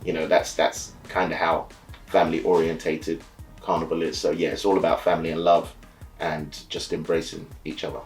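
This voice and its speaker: Deep-voiced man, relaxed carnival talk. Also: moderate pace, faint music behind, poor audio. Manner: relaxed, easygoing, lots of "you know" and "so yeah". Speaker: carnival band leader and soca DJ. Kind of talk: casual explainer talk to camera.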